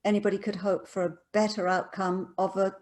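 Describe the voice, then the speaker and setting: A woman's voice: mid-range voice, level tone, a little fast, darkish tone. A woman hosting an interview, encouraging and affirming her guest. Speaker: interviewer host. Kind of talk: casual interview conversation.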